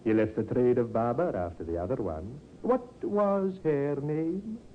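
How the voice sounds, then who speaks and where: Man acting measured police inspector, muffled old radio. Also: mid-range voice, animated, lightly acted. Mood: politely probing. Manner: formal, measured, politely insinuating. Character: calm, methodical, slyly pointed male police inspector. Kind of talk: vintage radio drama acting. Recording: muffled, hissy 1950s radio recording.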